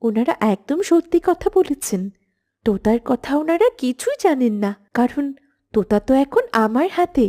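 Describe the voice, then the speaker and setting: A woman's voice: sits high, lively intonation, moderate pace, warm tone. A woman voicing an earnest, bold young minister's daughter in a cartoon, respectful but firm.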